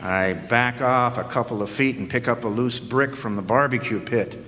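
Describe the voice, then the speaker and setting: A man's voice: mid-range voice, moderate pace, sharp tone. A man telling a hard-boiled detective story in wry, sardonic 1940s noir style. His voice sits in an ordinary middle range. Long hall reverb trails behind every line from the live stage. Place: historic club hall, live audience performance.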